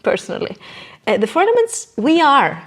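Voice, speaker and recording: A woman's voice: high voice, huge pitch swings, fairly quick. A woman speaking as an interview guest in a podcast conversation, explaining in an earnest, emphatic way and checking in with small tag questions.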